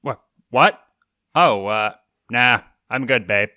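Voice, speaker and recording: A man voicing a brash, crude male imp with a cocky, gruff manner, for a cartoon fan story. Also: mid-range voice, takes it slowly, dry recording, clean studio sound, pitched above the performer's own voice. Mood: distracted. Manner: loud, gruff, swearing and teasing.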